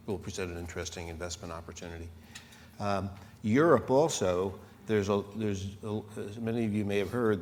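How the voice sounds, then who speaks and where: A man with a very deep voice speaks as a venture investor on a conference panel. He explains his points steadily. A long hall reverb and faint background hiss surround him. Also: darkish tone.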